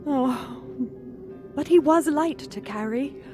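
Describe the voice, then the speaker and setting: Woman acting a high-voiced, halting, grieving mother. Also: music in the background. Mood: wistful sorrow. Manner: tearful, halting, tender. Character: grieving, tender mother mourning her little son. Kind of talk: theatrical radio play acting.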